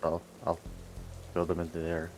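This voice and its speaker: Deep-voiced man playing a tabletop game. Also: completely flat delivery, normal speed, a little mellow, soft background music. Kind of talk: casual table talk.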